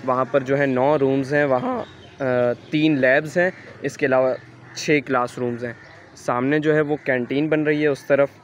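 A man's voice: mid-range voice, fairly even intonation, moderate pace, highs cut off, slight background noise. A young man from Pakistan with a Karachi Urdu accent, casually narrating a walking tour outdoors into a handheld phone, hesitating often with fillers. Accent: Urdu-speaking, Karachi. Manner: hesitant, thinking aloud with frequent "uh" fillers. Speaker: student vlogger giving a campus tour. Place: outdoors on a construction site. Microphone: handheld phone camera.

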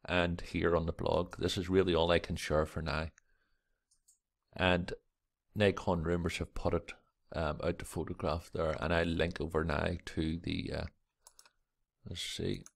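A man hosting a camera vlog, with a croaky early-morning voice, rambling and reading articles aloud with lots of fillers. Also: deep voice, level tone, unhurried. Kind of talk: casual screen-recorded commentary.

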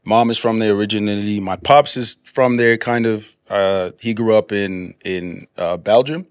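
Calm, low-key guest from Congo. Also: male voice, normal speed, clear, resonant tone, no room sound. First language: French. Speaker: podcast guest. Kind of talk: casual chat.

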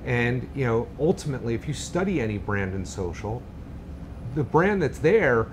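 A man with a mid-range voice speaks in a relaxed, reflective way, often saying "you know". He sits on a studio panel, and a rumble is clearly heard behind him. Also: moderate pace. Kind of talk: panel discussion.

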